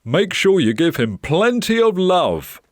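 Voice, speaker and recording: Man as kindly male shelter owner, storybook character, raised pitch, animated, reassuring, emphatic. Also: unhurried, no room sound, clean studio sound. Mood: encouraging.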